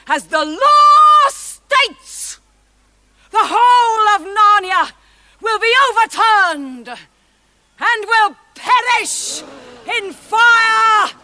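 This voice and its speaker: A piercing, high-voiced witch queen in a fantasy drama. She declaims slowly and grandly, swinging widely in pitch. She is imperious and full of menace. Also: bright, forceful tone, full character voice. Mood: shrill fury. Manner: theatrical, declamatory, slow, swelling to shrill outbursts.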